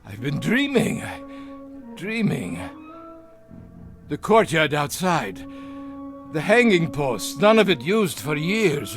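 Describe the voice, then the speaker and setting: An actor playing an arrogant, panicking older military captain in a television drama, with a blustering, theatrical manner. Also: male voice, on the high side, gravelly, exaggerated voice. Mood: dazed, weary. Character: arrogant, panicking former war camp captain, older man.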